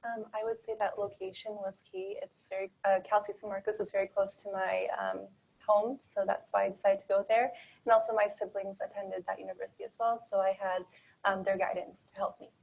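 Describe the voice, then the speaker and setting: Young woman from afghanistan on a student panel, calm, pretty monotone. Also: mid-range voice, a little fast, gravelly, low-quality recording. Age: young adult.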